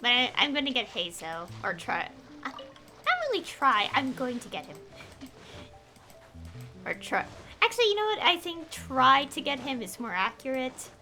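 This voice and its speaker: A young woman doing casual livestream game commentary, excitable and rambling, often correcting herself. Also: high voice, moderate pace, pauses often. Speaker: game streamer. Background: faint game music.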